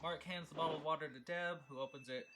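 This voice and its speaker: A man's voice: a little high, steady tone, fast talker. A man reading scene headings and stage directions as the narrator of a film script table read. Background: clear background music.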